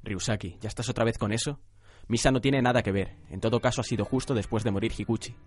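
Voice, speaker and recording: A man's voice: fairly low pitch, steady tone, fast talker, lightly acted. A man playing a cunning, self-righteous young vigilante in a radio drama, controlled and insistent. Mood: defensive. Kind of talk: radio drama adaptation of anime.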